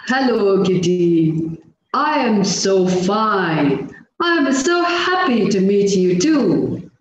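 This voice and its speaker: A woman voicing a gentle, friendly fish, speaking slowly and softly over a video call. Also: mid-range voice, big pitch swings, slight background noise. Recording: echoey dull video-call audio.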